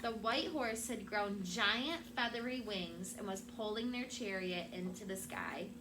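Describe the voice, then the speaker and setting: A woman teacher reads a storybook to young children in expressive, emphatic phrases. She has a medium-pitched voice, and the classroom has clear background noise. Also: unhurried. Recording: noisy room with background ambience.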